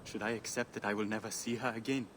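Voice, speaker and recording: A man's voice: lowish voice, steady tone, fast, slight background noise, lightly acted. A man playing a grieving, devout Egyptian widower. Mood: sorrowful. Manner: earnest, sorrowful, formal and gentle. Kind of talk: dramatic video game acting.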